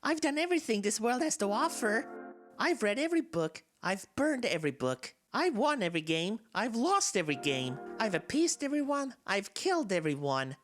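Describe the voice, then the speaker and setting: A woman's voice: on the high side, big pitch swings, normal speed. A woman voicing a soulless, bored talking flower in a dramatic game fan dub, confiding and theatrical, swinging between wistful and menacing. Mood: weary, intense. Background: game soundtrack music.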